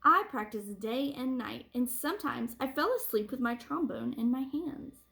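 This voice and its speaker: High female voice, read-aloud storytelling, teacherly, expressive emphasis, for young children. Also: normal speed, gravelly. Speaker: teacher reading a picture book aloud.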